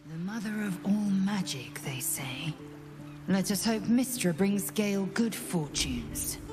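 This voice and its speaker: A woman's voice: medium pitch, lively intonation, takes it slowly, over music. A woman playing a proud, disillusioned warrior in a fantasy game, clipped, solemn and scornful. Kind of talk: fantasy video game acting.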